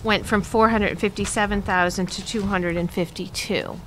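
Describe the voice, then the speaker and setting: A woman's voice: medium pitch, steady tone, moderate pace, heavy background noise. A woman on a school board asking probing questions about a budget, hesitant, with many fillers. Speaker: board member. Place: public meeting room.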